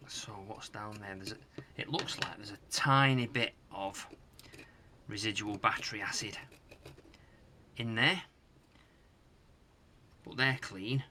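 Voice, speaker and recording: A middle-aged British man giving casual hands-on repair commentary, musing aloud in a halting, hesitant way. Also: fairly low pitch, sharp tone, a little hiss or hum. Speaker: host of a retro tech repair channel. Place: home workshop loft.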